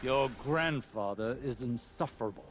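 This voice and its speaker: An actor playing a proud, pompous warrior-scholar who is easily offended, in a theatrical video game style. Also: male voice, mid-range voice, moderate pace, a little hiss or hum, close to an everyday voice. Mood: proud, indignant. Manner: grandiose, pompous.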